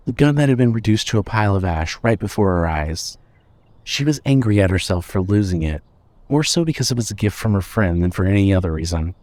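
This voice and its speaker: A man's voice: fairly low pitch, darkish tone, no room sound. A man reading scripted fiction as the narrator of an audio story.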